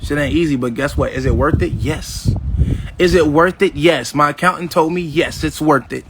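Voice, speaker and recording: A swaggering man in his fifties talks straight to his audience in a loose, boastful manner. His voice sits a little high for a man. A faint hiss runs under the recording. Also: normal speed. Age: 50s. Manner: swaggering, addresses viewers directly as y'all; acts out past conversations in quotes. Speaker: vlogger. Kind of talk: casual boastful livestream talk.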